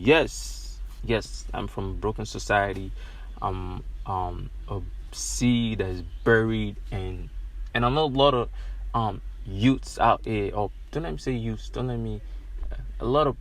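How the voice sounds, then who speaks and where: A young Nigerian man hosting his own podcast, speaking earnestly and with growing passion, recorded inside a car. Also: on the low side. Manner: earnest, repetitive, rising to passionate emphasis. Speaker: podcast host. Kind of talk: solo podcast monologue.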